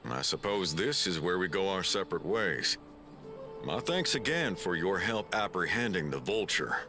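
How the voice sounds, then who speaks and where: Wry wanderer, very expressive. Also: male voice, sits low, moderate pace, music in the background. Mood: reserved, thankful. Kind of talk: video game voice acting.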